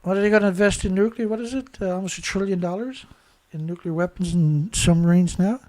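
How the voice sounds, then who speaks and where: Guy with a stuffed-up nose ranting about the news, kinda high voice, dry close sound, lots of "right?" questions. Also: fast talker, darkish tone, dry recording. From Canada. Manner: rambling, indignant, rhetorical questions. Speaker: host. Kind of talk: solo commentary on news.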